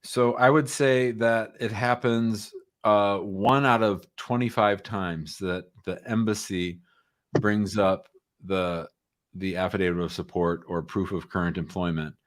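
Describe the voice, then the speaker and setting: A man's voice: on the low side, fairly even intonation, measured pace. A man hosting a live call-in advice show, chatting casually and giving short, informal, reassuring answers. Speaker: host answering immigration law questions.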